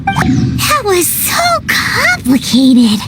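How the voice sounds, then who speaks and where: A woman voicing a tiny, childlike, excitable fairy companion in a video game, squeaky and full of exclamations. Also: drawn-out words, heavy background noise, heavily acted. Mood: perky, puzzled. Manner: speaks of self in third person, exclaiming.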